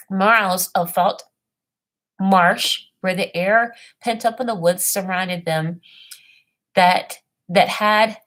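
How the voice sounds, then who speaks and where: A woman's voice: mid-range voice, a bit bright. Woman rambling through history notes. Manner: meandering, stumbles over old words and names. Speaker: self-made history presenter. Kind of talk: casual talk and halting reading aloud.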